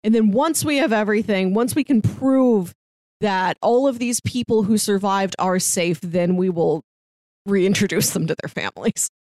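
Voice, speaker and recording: A woman's voice: mid-range voice, normal speed, darkish tone, dry recording. A woman talking casually as co-host of a podcast discussion. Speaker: podcast co-host reviewing an audio drama.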